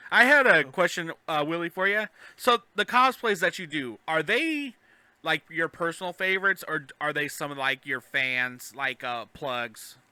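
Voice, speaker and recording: A man's voice: a little high, expressive, a little slow, pushed and bright, clean tone, no echo. A man co-hosting a podcast, asking questions and bantering in a casual, joking style. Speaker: podcast co-host, interviewer.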